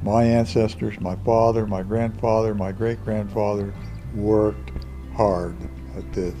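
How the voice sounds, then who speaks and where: A man giving a plain, measured explanation as an interviewed expert. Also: fairly low pitch, fairly even intonation, music in the background.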